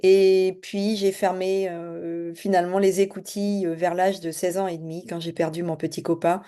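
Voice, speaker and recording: A middle-aged woman with a low, mellow voice introduces herself to her viewers. She talks quickly and casually, stumbling over many hesitations as she goes. She is from French Guiana and works as a coach. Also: level tone, clean tone. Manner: fast-talking, hesitant with many fillers. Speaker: spiritual and business coach. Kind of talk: casual self-introduction to camera.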